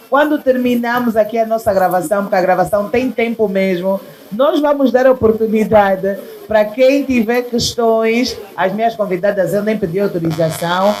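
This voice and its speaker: High-voiced woman hosting a talk event. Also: darkish tone, rough recording. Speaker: host of a women's wellness talk event. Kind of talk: live event hosting, casual chat.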